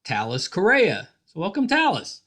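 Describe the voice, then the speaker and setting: A man in his thirties with a slightly high voice works as a podcast host. He talks in an easygoing, conversational interview style and tends to repeat phrases for emphasis. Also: huge pitch swings, normal speed, pushed and bright. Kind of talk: casual interview chat.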